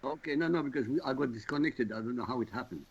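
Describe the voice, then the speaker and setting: Gravelly Iraqi-born man, blunt and forceful. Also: mid-range voice, quick pace, lo-fi sound. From Iraq. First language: Arabic. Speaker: show regular. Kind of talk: talk radio discussion.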